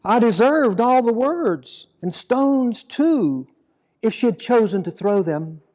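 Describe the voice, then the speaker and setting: A man reading a dramatic monologue poem as an aging, plain-spoken fisherman apostle, deliberate, reflective and full of guilt and devotion. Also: high-pitched, dark tone. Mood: rueful, heavy. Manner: slow, deliberate, reflective storytelling.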